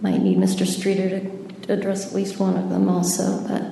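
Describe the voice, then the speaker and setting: A woman chairing a public hearing, brisk and procedural. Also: medium pitch, completely flat delivery, gravelly, mellow, slight background noise. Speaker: commission chair. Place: city council chamber. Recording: distant, very echoey chamber with long reverb.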